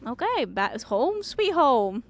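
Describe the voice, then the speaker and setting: A woman streamer reading a game aloud and reacting to it in an expressive, emotional way. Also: high-pitched, slow, slight background noise.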